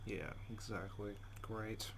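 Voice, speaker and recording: A man playing a game, reading its text aloud dramatically and reacting in a jumpy, expressive way. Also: fairly low pitch, slow pace, vocal fry. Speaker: let's player. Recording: loud game sound behind voice. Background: game audio.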